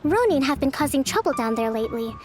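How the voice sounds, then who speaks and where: A woman playing a gentle, composed young resistance leader in a video game, measured, formal and resolute. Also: sits high, very animated, a little hiss or hum. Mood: calm, encouraging. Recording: light music behind the voice.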